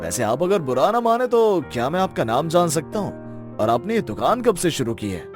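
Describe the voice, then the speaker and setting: A man's voice: fairly high pitch, big pitch swings, moderate pace. A man voicing a friendly, confident young suitor in a moral story, polite and upbeat. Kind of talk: animated moral-story dialogue. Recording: music behind the voice.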